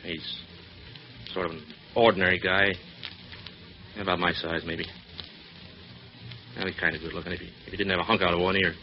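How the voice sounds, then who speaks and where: Casting: actor as crooked cabbie, very deep male voice, rough street talk, dull old radio sound. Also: level tone, fairly quick, long pauses, natural voice. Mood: cornered, evasive. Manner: rough colloquial speech, evasive. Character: rough, shady crooked cab driver. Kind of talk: 1940s radio acting. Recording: dull, faintly noisy vintage radio recording.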